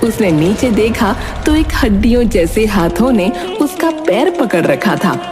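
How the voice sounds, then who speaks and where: A woman narrates a story in a scripted, dramatic style. Her mid-pitched voice moves up and down expressively, with clear music underneath. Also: big pitch swings, measured pace.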